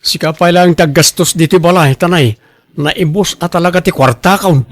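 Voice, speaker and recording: An actor with an Ilocano accent playing a boastful, defensive husband who loves showing off, in a radio drama. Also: male voice, fairly high pitch, moderate pace, dry recording, clean studio sound, lightly acted. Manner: showy, bragging. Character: boastful, defensive husband and co-worker obsessed with costly branded clothes.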